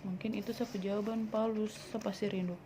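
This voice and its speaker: A woman's voice: mid-range voice, level tone, a little fast, background noise. A woman in her twenties with a Papuan Indonesian accent, answering viewer questions in a blunt, teasing vlog and dropping in English words. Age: 20s. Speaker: vlogger. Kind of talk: casual Q&A vlog.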